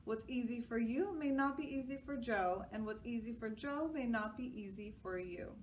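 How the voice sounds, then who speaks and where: A woman's voice: on the high side, normal speed, close to an everyday voice. A woman voicing a firm but patient female schoolteacher in a children's storybook, emphatic and instructive. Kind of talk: children's storybook read-aloud. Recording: distant mic, clear background noise.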